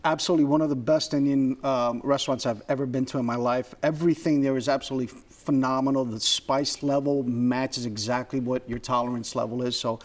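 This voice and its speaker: A man's voice: medium pitch, steady tone, a little fast, few pauses. Effusive man raving about food. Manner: effusive, piles on superlatives. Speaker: guest reviewer. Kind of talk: casual chat.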